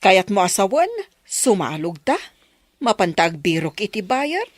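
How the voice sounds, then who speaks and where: Lively, encouraging madam. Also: female voice, medium pitch, a little slow, dry recording, clean studio sound. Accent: Filipino, Ilocano. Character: kindly, encouraging adult woman, addressed as ma'am. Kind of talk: Ilocano radio drama acting.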